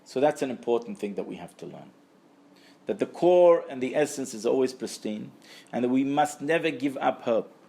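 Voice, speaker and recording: Man teaching Torah, gravelly creaky voice. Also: medium pitch, animated, normal speed, dark tone. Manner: emphatic, repeats phrases for stress. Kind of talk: Torah lecture to a live group.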